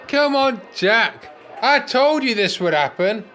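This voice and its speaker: A man acting an anxious, high-voiced elderly Brit. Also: animated, slow, bright, forceful tone, soft background music, some chatter behind, full character voice. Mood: worried. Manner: worried, pleading. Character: anxious, conciliatory elderly male friend in a care home. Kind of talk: radio play acting.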